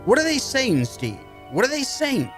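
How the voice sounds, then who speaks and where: A man's voice: very high-pitched, huge pitch swings, normal speed, over music, exaggerated voice. A man acting in an old-time radio drama as a probing, authoritative army psychiatrist with a clipped, commanding manner. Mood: commanding, intent.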